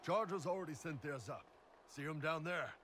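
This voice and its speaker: An actor voicing a big, gruff male mercenary captain in a video game, laconic and weighty. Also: a little high, lively intonation, moderate pace, natural voice. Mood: wry, easygoing. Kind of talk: dramatic video game acting. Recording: game audio under loud background noise. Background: loud game ambience.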